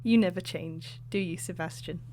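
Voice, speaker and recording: A woman voicing a female artifact historian and author who explains things in a measured, lecturing way. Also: fairly low pitch, a little mellow, slight background noise, lightly acted. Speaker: expert guest. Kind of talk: audio drama acting.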